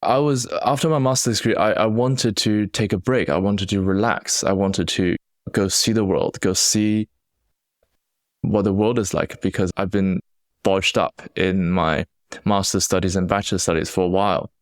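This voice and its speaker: A man's voice: deep voice, normal speed, dry recording, clean studio sound. A young man from China with a Chinese-accented English touched by British speech, hosting a solo podcast and telling his story in an earnest, reflective way with frequent restarts. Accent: Chinese-accented English with British influence. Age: young adult. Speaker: podcast host.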